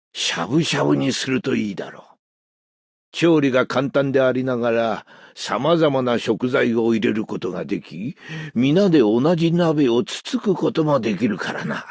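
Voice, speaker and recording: An actor playing a gruff, practical middle-aged cook in a video game, gravelly and fatherly. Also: medium pitch, animated, fast talker, a little mellow, no echo, clean studio sound. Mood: instructive. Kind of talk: video game character voice line.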